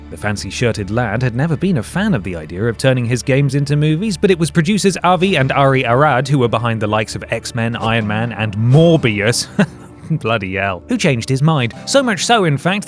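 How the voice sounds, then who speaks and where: A British man reading scripted narration as a presenter, in a wry, sardonic manner. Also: medium pitch. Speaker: video essay presenter.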